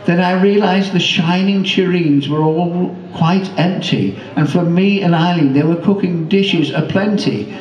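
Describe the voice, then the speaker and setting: A man recites a humorous story in rhyme with measured delivery. His voice sits a little high. The room echoes and the microphone is slightly distant. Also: darkish tone, highs cut off. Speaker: poet performing own work. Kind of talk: comic rhyming poem recital.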